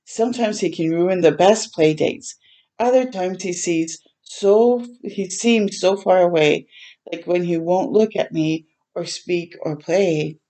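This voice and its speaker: A woman hosting a children's storytime, reading a picture book aloud in a gentle, expressive way with tender asides to listeners. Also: on the low side, slow pace. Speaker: children's read-aloud host.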